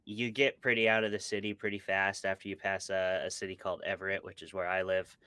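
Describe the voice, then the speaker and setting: A man's voice: deep voice, flat delivery, fast talker, sharp tone, clean tone, no room sound. A man from Montana with an American accent, chatting casually and with self-deprecating humor as an interview guest. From small town in Montana, United States. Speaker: interview guest, horror writer. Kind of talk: casual conversational interview answers.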